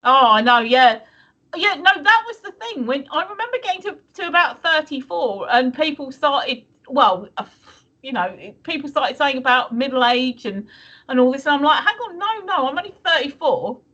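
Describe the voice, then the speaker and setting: A woman's voice: high voice, lively intonation, normal speed, bright, forceful tone. A woman in her fifties co-hosting a live stream, chatting cheekily with some swearing. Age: 50s. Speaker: stream co-host. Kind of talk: casual chat. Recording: dull lo-fi stream audio.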